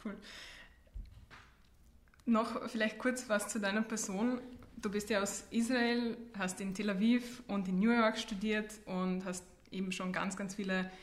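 A woman's voice: medium pitch, steady tone, unhurried. A woman hosting an interview in a conversational way, putting questions to her guest. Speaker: interview host. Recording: roomy, echoey sound.

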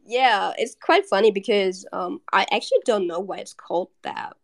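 A woman's voice: mid-range voice, normal speed, creaky. A young woman from Germany chatting casually as a podcast guest, giggly and full of fillers. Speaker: artist guest.

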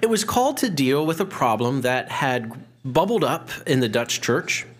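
A man with a medium-pitched voice presents a prepared history lesson as host. He explains clearly step by step, adding small asides to clarify terms. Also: big pitch swings, moderate pace. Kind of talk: prepared educational history talk.

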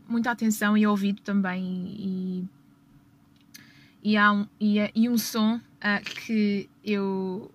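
A woman's voice: medium pitch, a little hiss or hum. A young woman with a European Portuguese accent, hosting a podcast and chatting casually from a parked car, laughing often and correcting herself as she goes. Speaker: podcast host. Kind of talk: casual personal storytelling.

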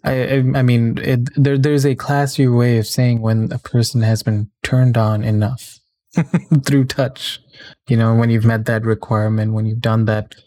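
Mellow, halting man hosting a podcast. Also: mid-range voice, flat delivery, no echo. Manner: rambling, stammering, searching for words. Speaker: podcast host. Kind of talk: casual solo podcast chat, episode sign-off. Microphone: close studio mic on a stand.

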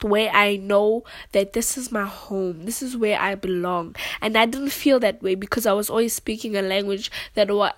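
Young woman, from South Africa, earnest, rambling, mid-pitched, dry close sound. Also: no echo. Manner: earnest, rambling, with frequent "you know what I'm saying" asides. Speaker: vlogger. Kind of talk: personal storytelling to camera.

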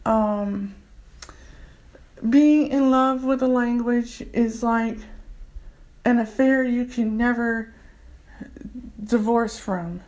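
An enthusiastic woman in her forties talks earnestly in a relaxed testimonial, her voice sitting a little higher than most. She speaks as a community English tutor sharing her own experience. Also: drawn-out words, long pauses, rough recording. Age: 40s. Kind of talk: casual personal testimonial.

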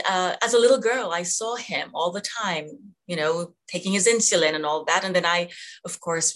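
A middle-aged woman born in Jamaica, a physician being interviewed, talking thoughtfully with many pauses and fillers. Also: fairly low pitch, fairly bright tone. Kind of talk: conversational interview.

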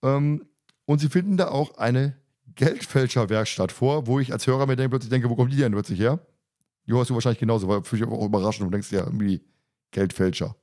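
A man's voice: mid-range voice, fast, warm tone. A man hosting a podcast, chatting casually and retelling a story in an informal, self-interrupting way. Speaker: podcast host. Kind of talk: casual podcast chat, retelling an audio drama episode.

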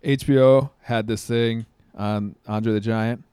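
A man's voice: medium pitch, steady tone, slow pace, mellow, smooth, dry recording. Man chiming in, dry close sound. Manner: interjecting with short asides. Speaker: podcast co-host. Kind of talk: casual chat.

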